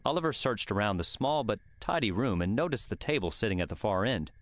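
A man's voice: on the low side, sing-song, fairly quick. A man reading scripted audiobook narration of a story.

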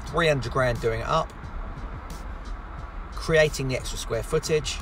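A man's voice: medium pitch, unhurried, fairly bright tone, faint music behind, low-quality recording. A man, a builder talking casually through his thoughts in a car vlog. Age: 50s. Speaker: construction company owner vlogging. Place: inside a car in traffic. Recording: clear car and road noise.

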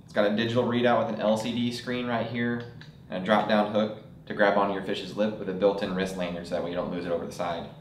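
A man's voice: on the low side, quick pace, echoey room, slight background noise. Casual young man demoing fishing gear. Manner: casual, relaxed delivery with frequent "uh" fillers. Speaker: store product presenter. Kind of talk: product demonstration. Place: indoor studio set with table of products.

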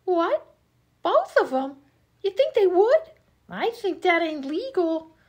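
A woman's voice: very high voice, sing-song, slow, heavily acted. A woman playing a dim, chatty 1920s vaudeville comedienne, slangy and easily indignant, in an old-time radio comedy sketch. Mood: dreamy, chattering. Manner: scatterbrained, slangy, literal-minded.

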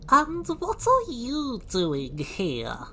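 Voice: medium pitch, wildly swooping pitch, drawn-out words, bright, forceful tone, slight background noise, pitched above the performer's own voice, exaggerated voice. A storyteller voicing a small, naughty male nautiloid, drawing out words in sly, falsely sympathetic wheedling for a children's story. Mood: sly, curious.

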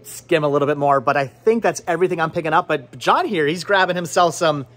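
A man in his thirties hosting a casual, chatty vlog in an excitable way, often repeating his words, recorded on a handheld camera in a shop. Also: fairly high pitch, fast. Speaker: vlog host. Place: indoor cluttered video and game shop. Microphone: handheld camera at arm's length.

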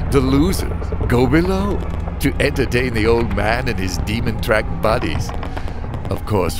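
A man's voice: low-pitched, heavily acted. A man playing a world-weary, fatalistic father and damned driver, narrating a comic drama in a brooding, wry way. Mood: darkly awed. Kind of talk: scripted first-person dramatic narration.

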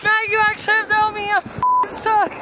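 A woman's voice: very high voice, big pitch swings, brisk. A young woman exclaiming casually outdoors to a companion holding the camera. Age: young adult. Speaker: hiking companion. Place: outdoors in deep snow. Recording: very noisy outdoor camera audio.

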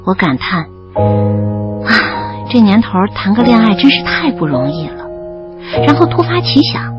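A woman playing a bashful young student in an audio drama, expressive and easily flustered. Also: on the high side, normal speed, muffled recording, over music. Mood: wry narrating. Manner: expressive, stammering when flustered. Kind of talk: audio drama acting with first-person narration.

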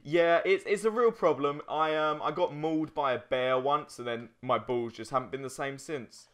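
A man's voice: on the high side, normal speed, clean tone. A man hosting a let's play, chatting casually and jokingly over competitive gameplay. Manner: joking, easily amused. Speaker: let's play host and gamer.

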